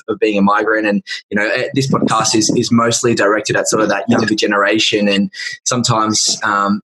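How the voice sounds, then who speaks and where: Man, podcast host, rambling questions, lots of fillers, lowish voice. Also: a bit bright, low-quality recording. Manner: many fillers and repeated starts. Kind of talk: casual interview questions.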